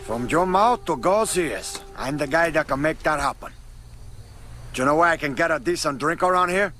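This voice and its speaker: An actor playing a cocky, foul-mouthed, flirtatious Cuban drug lord with a Cuban accent in a video game. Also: male voice, fairly high pitch, animated, moderate pace, sharp tone, soft background music. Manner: brash, swaggering. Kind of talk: video game cutscene acting.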